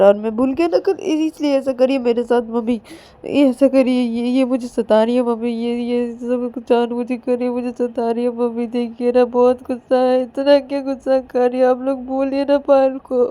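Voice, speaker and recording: Woman voicing a tearful, pleading, high-pitched young friend. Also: flat delivery, normal speed, dark tone, close to an everyday voice. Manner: stammering, repetitive, whining pleas. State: crying. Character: distraught young person, pleading childishly beside unconscious friend.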